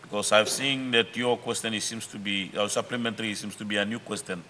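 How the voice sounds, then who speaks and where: A man's voice: fairly low pitch, steady tone, a little fast, pushed and bright, slight background noise. A man, the deputy speaker, chairing question time in a formal, directive way. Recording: reverberant chamber with faint ambience.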